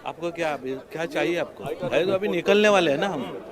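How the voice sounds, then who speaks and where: Slightly high male voice, blunt, impatient, outdoor crowd behind. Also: fairly quick. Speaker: interviewee in a crowd. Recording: crowd noise around the speaker outdoors.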